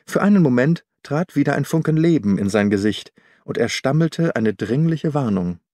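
A man narrates an audiobook in a formal, measured way. His voice sits at a medium pitch. The recording is very dry and close, with hardly any room sound. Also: animated, darkish tone, dry recording. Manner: measured, formal literary storytelling.

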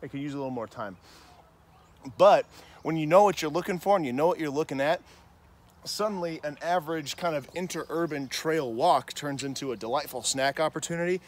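A man in his thirties on an outdoor nature trail, chatty and enthusiastic as he points out plants, recorded on the camera's mic. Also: a little high. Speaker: gardening vlogger. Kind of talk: walking nature vlog. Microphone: handheld camera framing, on-camera mic.

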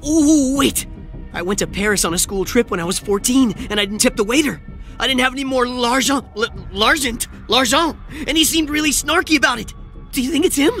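A man's voice: very high voice, fairly quick, fairly bright tone, faint music behind. A male actor voicing a nerdy, nervous young survivor in a video game, with a stammering, self-deprecating, rambling delivery. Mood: nervous, puzzled. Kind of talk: video game character voice lines.

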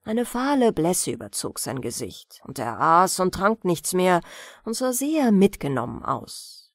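A woman narrates fairy tales for bedtime at an unhurried pace. Her mid-pitched reading is expressive and moves widely up and down. The recording is dry and close. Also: dry recording. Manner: expressive, measured storytelling. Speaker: audiobook narrator.

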